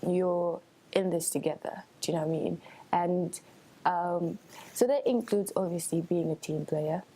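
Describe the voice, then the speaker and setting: Young woman with a mellow, soft-edged voice. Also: fairly low pitch, a little slow, breathy edge, low-quality recording. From Switzerland. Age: young adult. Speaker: student union election candidate. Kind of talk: informal spoken interview answers.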